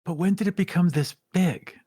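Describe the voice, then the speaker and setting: A man's voice: high voice, normal speed, warm tone, breathy edge, no room sound, studio quality. Dryly joking man in casual discussion. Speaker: podcast co-host.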